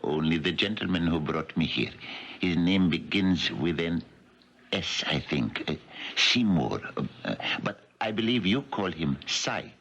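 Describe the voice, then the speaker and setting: An actor playing a mysterious, suave guru in a 1970s radio mystery, speaking in a halting, ceremonious manner. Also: male voice, low-pitched, lively intonation, a bit bright, breathy edge, full character voice. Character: mysterious male psychic guru from the East, suave and theatrical. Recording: dull, noisy vintage radio recording.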